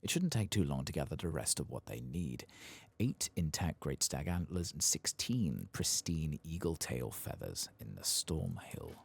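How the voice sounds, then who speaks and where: Really low croaky guy doing soft spoken gaming commentary, voice swoops around, mutters to himself casually. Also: big pitch swings, moderate pace. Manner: casual, muttering asides, mild swearing. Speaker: ASMR gaming streamer.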